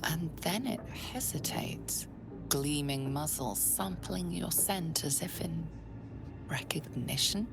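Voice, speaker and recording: Grave female game narrator, very low, slow, creaky, hushed and ominous, loud music behind. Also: lively intonation, exaggerated voice. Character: grave, ominous female storyteller of a dark fantasy. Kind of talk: scripted second-person game narration.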